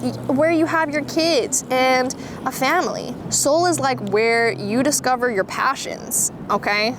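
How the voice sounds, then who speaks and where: Upbeat vlogger in her twenties, slightly high voice, constant background noise; chatty, filler-heavy delivery. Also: measured pace. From Canada. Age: 20s. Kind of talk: casual vlog talk to camera.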